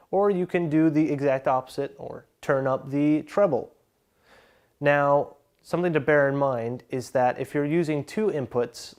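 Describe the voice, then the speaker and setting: Young guy doing a gear tutorial, mid-pitched voice, explains stuff step by step. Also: darkish tone. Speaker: tutorial presenter. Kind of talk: instructional narration of an equipment tutorial.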